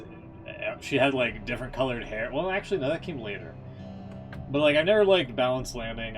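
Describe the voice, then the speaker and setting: A man casually commentating on a live game stream, giving opinionated, slangy asides. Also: fairly low pitch. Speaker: game streamer reacting to story readings.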